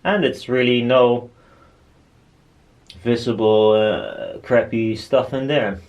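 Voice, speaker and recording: A man's voice: medium pitch, steady tone, slow pace, darkish tone, highs cut off. A man talking casually and bluntly as he presents his own DIY build, rambling informally. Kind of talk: casual workshop chat.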